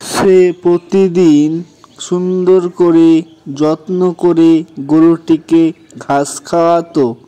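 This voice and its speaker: A man's voice: a little high, flat delivery, drawn-out words, mellow, muffled recording. A man narrating a scripted children's story as the storyteller.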